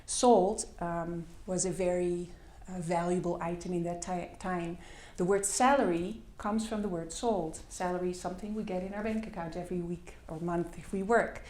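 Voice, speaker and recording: A woman with a Dutch accent speaks to a conference audience, her voice sitting fairly low and echoing through a large room. She pauses often and leans on fillers as she goes. She talks through her slides in plain, simple terms. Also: fairly even intonation, a little mellow. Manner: hesitant, many fillers, self-deprecating. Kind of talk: presentation with slides. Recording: echoey hall.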